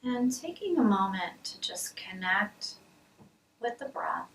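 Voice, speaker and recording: A woman leading a guided body scan meditation as a mindfulness teacher, speaking softly and soothingly with pauses, often trailing into a breathy near-whisper. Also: medium pitch. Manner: soft, soothing, measured with pauses. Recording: roomy, distant mic, hissy background noise.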